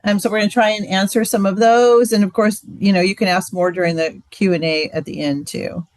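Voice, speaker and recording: A woman's voice: mid-range voice, a little fast, dry recording. A woman in her sixties, a marriage ministry director, casually answering questions on a video call. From Central California. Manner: casual, relaxed, filler-heavy.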